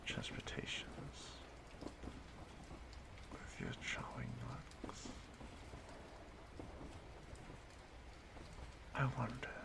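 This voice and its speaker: Man as a low, creaky, menacing ageless stalker. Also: exaggerated voice. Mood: sly, taunting. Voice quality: breathy whispering, creaky. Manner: hushed, halting, softly menacing, drawn-out delivery. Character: ageless menacing male stalker toying with his prey. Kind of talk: audio roleplay monologue addressed to the listener.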